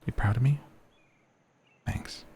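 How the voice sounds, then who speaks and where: A soft-spoken man giving gentle, chatty, relaxed ASMR commentary over a game, as the host of the video. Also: slow, slight background noise. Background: game music.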